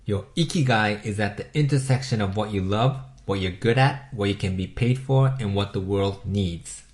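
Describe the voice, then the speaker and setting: A man with a Japanese accent, a native Japanese speaker, narrating a scripted motivational voice-over in a measured, instructive way and putting questions to the listener. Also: fairly low pitch, a little mellow. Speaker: self-help video host.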